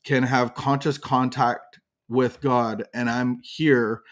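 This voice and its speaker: A man's voice: medium pitch, level tone, slow. A man co-hosting a recovery podcast in casual chat, talking in a preachy, emphatic way. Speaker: podcast co-host.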